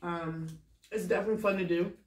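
A woman co-hosting a casual eating-show chat, easygoing, quick with asides and laughs. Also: on the low side, steady tone, recorded from a distance. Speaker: co-host. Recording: echoey room, a bit off mic.

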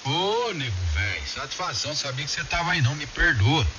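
A man's voice: a little high, very animated, a little fast, far from the mic. A Brazilian man chatting casually as an amateur radio operator. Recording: dull radio audio with clear hiss.

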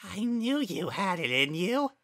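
A high shrieky nasty little flower monster, cackling and taunting, way up high. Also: male voice, very animated, unhurried, a bit bright, exaggerated voice. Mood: smug taunting. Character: small menacing flower creature, shrieking and spiteful.

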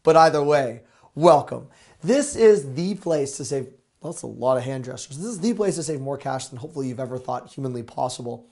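A man in his thirties, slightly hoarse, pitching bargains to camera with upbeat, salesy energy. He talks on a lapel mic in a small studio lined with gadgets. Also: brisk. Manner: energetic, salesy, gesturing with products. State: a little hoarse. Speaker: deal show host.

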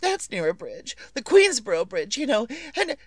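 An actress playing a nervous, demanding, well-to-do invalid wife in old-time radio suspense style, imperious and melodramatic. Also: female voice, fairly high pitch, sing-song, moderate pace, dry recording, studio quality. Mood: agitated, urgent.

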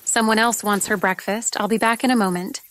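A woman playing a calm, reassuring, kindly countryside widow in an acted fan fiction audio drama. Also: mid-range voice, fairly quick, a little hiss or hum, natural voice. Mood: casual.

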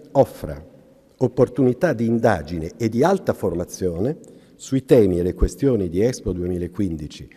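An older man reading a formal speech at a podium in a slow, academic way. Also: lowish voice, warm tone, husky. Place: large ornate state hall. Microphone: podium microphones. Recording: long hall reverb.

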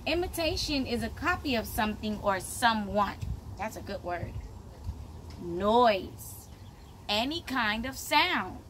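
A woman in her thirties reading a picture book aloud to young children as their teacher, in simple, deliberate phrases, recorded outdoors by a camera a short distance away. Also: fairly high pitch, a bit bright. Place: outdoors, on a patio beside plants. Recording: clear outdoor background noise.

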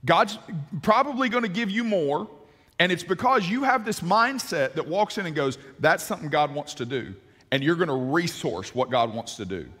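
A man in his forties, a pastor preaching a sermon on a large church stage, animated and gesturing, with frequent restarts. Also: on the high side, normal speed, husky. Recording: long hall reverb.

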